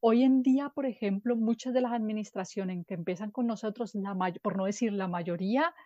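A woman from Colombia, a guest from a nonprofit, answering interview questions in a measured, explanatory way with fillers and restarts. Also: medium pitch, mellow, no echo, highs cut off. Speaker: guest from an NGO.

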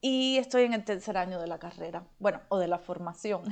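A woman's voice: fairly low pitch, moderate pace, creaky, a little mellow. A woman from Cuba with a Cuban accent, chatting casually as an interview guest and telling personal stories.

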